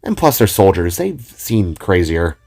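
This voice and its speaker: Man voicing a bold, lively young vigilante gal. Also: deep voice, unhurried, pitched below the performer's own voice, heavily acted. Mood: casual, confiding. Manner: breezy, boastful, casual. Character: bold excitable young female guitar vigilante with flame powers. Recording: music behind the voice.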